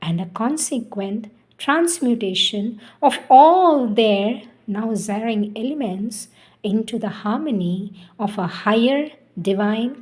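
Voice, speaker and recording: Slow, solemn woman reading devotional text. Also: mid-range voice, darkish tone. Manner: deliberate, emphatic, pausing between phrases. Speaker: reader of spiritual teachings.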